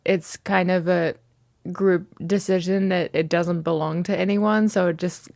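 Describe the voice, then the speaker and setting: A woman's voice: fairly low pitch, steady tone, measured pace, gravelly, a little mellow, dry recording, clean studio sound. A woman sharing wry, casual anecdotes in an interview. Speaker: interviewee, house resident.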